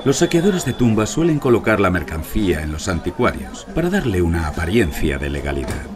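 An actor playing a seasoned undercover archaeologist in an audio drama, measured and dry. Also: male voice, deep voice, sing-song, over music. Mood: measured, narrating. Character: seasoned male archaeologist narrating his undercover hunt for looters. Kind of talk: Spanish audio drama narration and dialogue.

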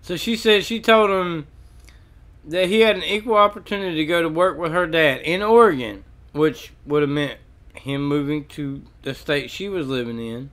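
A man's voice: fairly high pitch, moderate pace, a bit bright. A man giving opinionated video commentary, emphatic and repeating phrases for effect. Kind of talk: opinionated commentary on an audio recording.

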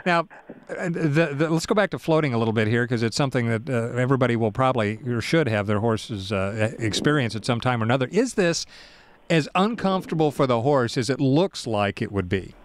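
A man's voice: medium pitch, animated, fairly quick. A man hosting a radio interview, asking curious questions and restating points for his listeners. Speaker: radio show host.

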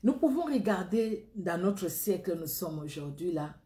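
A middle-aged woman with a Congolese accent preaches and prays aloud, her words earnest and returning again and again to the same phrases. Her voice is mellow and warm. She is recorded on a webcam in a room that rings with echo. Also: lowish voice, sing-song, a little slow. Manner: earnest, repetitive, rising fervor in prayer. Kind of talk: sermon and spoken prayer. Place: indoor room against a plain wall.

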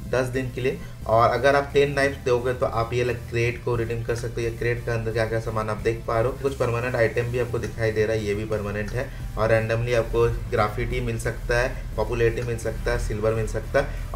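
A man casually walking viewers through game events step by step in an instructional way. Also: mid-range voice. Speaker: gaming video host. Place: voice-over with music behind.

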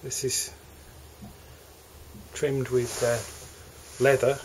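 A man with an English accent walks through the cabins of a moored motor yacht, narrating a sales tour in a relaxed, hesitant way. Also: mid-range voice, very slow, slight background noise, low-quality recording. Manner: relaxed, hesitant, with fillers and restarts. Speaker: yacht broker. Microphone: handheld camera mic.

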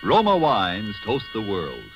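A man's voice: fairly low pitch, huge pitch swings, unhurried, fairly bright tone. A man announcing a sponsor's message in the style of a 1940s radio commercial. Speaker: advertising announcer. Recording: dull 1940s radio recording with music.

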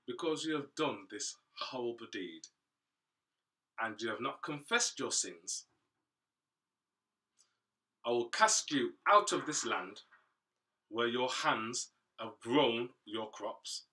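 A man voicing God, a stern but merciful judge, speaking in slow, solemn pronouncements. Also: medium pitch, sharp tone. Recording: voice from a distance.

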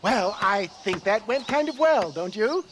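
Wheedling old frontier con man, high voice, flowery and hesitant, western game, noisy outdoor background. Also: lively intonation, measured pace, fairly bright tone. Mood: ingratiating, scheming. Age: older. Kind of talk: video game western acting.

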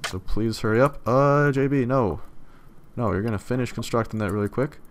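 A young man with a slightly hoarse voice, giving loose, rambling gameplay commentary and swearing casually. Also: medium pitch, a little fast, slight background noise. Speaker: let's play gamer.

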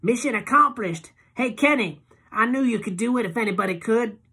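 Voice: mid-range voice, animated, sharp tone, husky, pitched above the performer's own voice. A narrator voicing a flirtatious, tipsy woman who slurs and drags out her words. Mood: tipsy teasing. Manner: slurred, giggly, drawn-out.

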